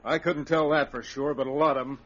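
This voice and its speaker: An actor playing an insistent outlaw lookout in an old-time radio adventure. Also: male voice, on the high side, fairly even intonation, a little fast, fairly bright tone, close to an everyday voice. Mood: urgent, insistent. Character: insistent male outlaw lookout reporting trouble. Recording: muffled vintage radio recording.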